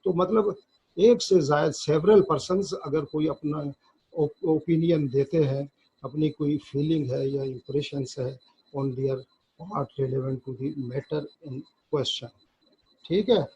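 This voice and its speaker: Very slow, husky Pakistani man lecturing. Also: fairly high pitch, warm tone, lo-fi sound. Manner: halting, deliberate, repeats phrases while explaining. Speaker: law lecturer. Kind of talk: lecture reading legal text aloud in English and explaining it in Urdu.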